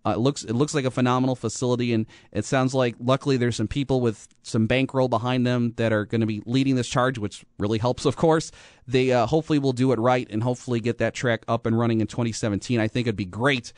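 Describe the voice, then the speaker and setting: American guy doing racing talk radio, informal and a bit halting, very dry studio sound. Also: mid-range voice, steady tone, darkish tone, no room sound. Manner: informal, halting, often rephrasing mid-sentence. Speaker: radio host. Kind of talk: motorsport talk radio monologue.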